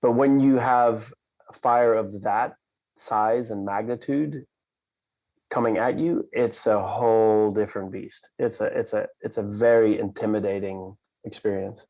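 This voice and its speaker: A man from the Netherlands, a company cofounder, telling a story in a measured, reflective way and slowing down for emphasis. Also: lowish voice, steady tone, a little mellow, low-quality recording. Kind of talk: conversational storytelling.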